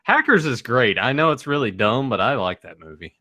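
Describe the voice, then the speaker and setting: A man's voice: mid-range voice, very animated, brisk, pushed and bright. A man co-hosting a podcast in casual, conversational chat, with chatter heard behind his voice. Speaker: podcast co-host. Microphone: headset.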